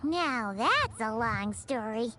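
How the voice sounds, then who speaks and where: A woman voicing a small, childlike floating companion in a video game, with a squeaky voice and a bubbly manner. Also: sing-song, slow, bright, forceful tone, full character voice. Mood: musing. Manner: refers to self in third person, bubbly. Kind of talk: video game character acting. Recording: music playing behind the voice.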